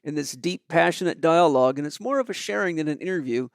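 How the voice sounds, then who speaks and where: A man's voice: fairly high pitch, animated, fast talker, vocal fry, clear, resonant tone, no echo, clean studio sound. A middle-aged man hosting a podcast from a house, enthusiastic and chatty, with lots of fillers. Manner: enthusiastic, uses many fillers. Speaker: podcast host. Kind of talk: conversational podcast interview.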